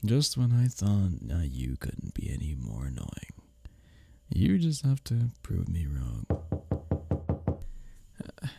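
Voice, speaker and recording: A man playing a smug, taunting office rival in an intimate roleplay audio drama, sardonic and teasing, with a husky low voice. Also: wildly swooping pitch, slow, long pauses, dark tone, slight background noise, exaggerated voice. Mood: irritated. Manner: sardonic, teasing, needling, with breathy chuckles.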